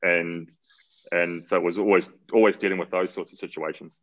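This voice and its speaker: A very low-voiced man with a New Zealand accent talks easily and at length as an interview guest. He is relaxed and loose, often doubling his words. The audio is dull and lo-fi. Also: fairly quick. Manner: relaxed, rambling, repeats words. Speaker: guest, cyclist. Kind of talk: casual interview talk.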